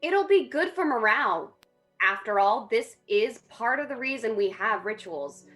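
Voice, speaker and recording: A woman acts a young sorority sister in a scripted drama. Her voice is high, and she is steady and supportive, rallying the others over soft music. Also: normal speed. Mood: resolute.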